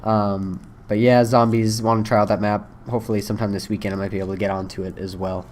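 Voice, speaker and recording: Young man, hoarse from a cold, low flat delivery, loose and rambling. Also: fast, a little hiss or hum. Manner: rambling, many fillers. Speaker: gaming video creator. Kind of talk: casual first-impressions talk.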